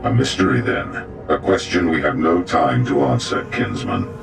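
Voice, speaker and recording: A man playing a grave, stoic armoured space marine in a video game, gravelly and solemn, with formal archaic commands. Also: very deep voice, animated, moderate pace, full character voice. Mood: sombre. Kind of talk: video game dramatic acting. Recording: distant, under loud music.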